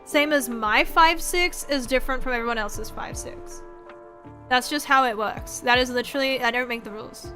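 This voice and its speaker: A woman's voice: high voice, moderate pace, bright, forceful tone. A woman in her twenties chatting casually as a livestreamer, rambling and swearing freely, acting out little quotes as she goes. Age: 20s. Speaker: streamer, VTuber host. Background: background music.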